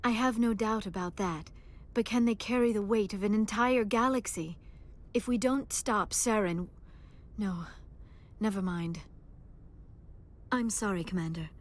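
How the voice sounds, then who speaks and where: A woman playing a gentle, earnest crewmate in a video game, with careful, formal diction. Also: medium pitch, unhurried, close to an everyday voice. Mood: concerned, tender.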